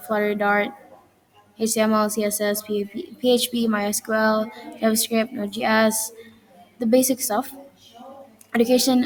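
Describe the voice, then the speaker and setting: A woman's voice: medium pitch, poor audio. A young woman casually walks through her portfolio, listing items and often correcting herself. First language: Indonesian. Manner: rambling listing with frequent self-corrections. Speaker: student presenting her portfolio. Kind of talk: casual presentation walkthrough.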